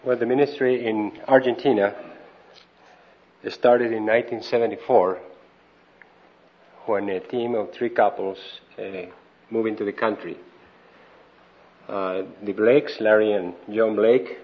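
A man raised in Colombia talks very slowly and plainly to a conference audience, with a touch of dry humor. His voice sits in a middle pitch. The recording is dull and lo-fi. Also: steady tone. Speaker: missionary speaker. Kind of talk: conference talk.

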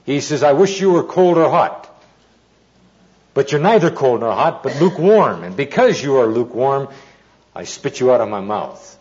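A man giving an informal sermon with anecdotes, telling them deliberately with pauses and dry humour. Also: medium pitch, sing-song, a little mellow. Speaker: preacher, Bible teacher. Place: speaking live to an audience. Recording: dull, muffled lo-fi recording.

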